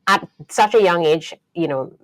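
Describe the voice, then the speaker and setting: A woman in her thirties from Trinidad and Tobago with a Trinidadian accent, a podcast guest telling her career story in a thoughtful, unhurried way with many fillers. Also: lowish voice, rough recording. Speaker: guest engineer. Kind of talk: podcast interview.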